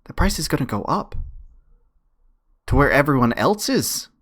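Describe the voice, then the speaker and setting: A man's voice: medium pitch, sing-song, moderate pace, a bit bright. A man arguing casually and emphatically, repeating his points as in an online debate. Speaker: streamer and debater. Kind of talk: casual argumentative debate talk.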